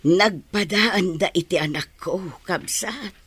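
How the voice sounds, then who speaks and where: Husky, sorrowful old village mother. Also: fairly low pitch, slow, a bit bright, heavily acted. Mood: resigned, troubled. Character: aging Ilocano village mother, worried, pious, sorrowful over wayward son. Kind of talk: old radio drama acting in Ilocano.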